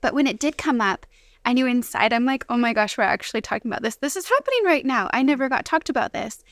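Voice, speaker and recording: A woman's voice: a little high, lively intonation, quick pace, dry recording, studio quality. A woman talking casually as an interview guest, sharing personal experience as a mother. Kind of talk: casual conversational testimony.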